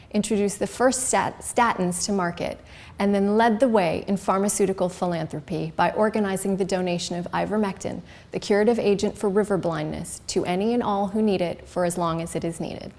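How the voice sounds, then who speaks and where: A woman hosts an interview, reading a prepared introduction in a formal, polished manner. Her voice sits at a medium pitch, and her questions are plain and steady. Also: a little fast. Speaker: interviewer, host.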